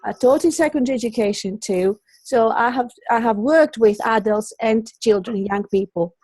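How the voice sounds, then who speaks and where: Middle-aged greek lady being interviewed, kinda high voice, dry close sound, chatty and wandering. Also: normal speed, a little mellow, dry recording, poor audio. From Greece. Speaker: interview guest, colour psychologist and teacher. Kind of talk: conversational interview, telling own story.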